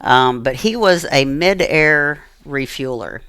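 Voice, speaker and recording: A woman's voice: a bass voice, expressive, slow, a bit bright, clear, resonant tone, no echo, studio quality. An American woman telling personal stories as an interview guest, earnest and emphatic, repeating phrases for emphasis. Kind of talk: personal storytelling in conversation.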